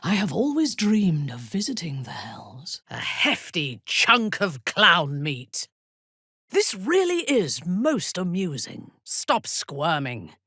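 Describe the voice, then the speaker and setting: A ruthless female warrior commander from a fantasy video game. Her voice is deep and breathy, recorded close and dry. She speaks with slow, haughty menace and dark amusement, in formal, cutting words. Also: wildly swooping pitch, dry recording, heavily acted. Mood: intimate, hushed, then darkly amused. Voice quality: husky, breathy. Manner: haughty, measured, formal diction with biting disdain. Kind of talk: video game character dialogue.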